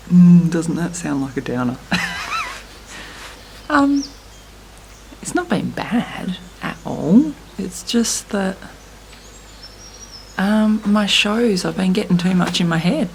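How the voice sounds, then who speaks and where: A woman with a croaky voice, talking candidly in a vlog about her festival shows, pausing often. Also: on the low side, a little mellow, a little hiss or hum, low-quality recording. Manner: thoughtful, candid, many pauses and fillers. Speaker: musician vlogging at a festival. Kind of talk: reflective casual vlog talk.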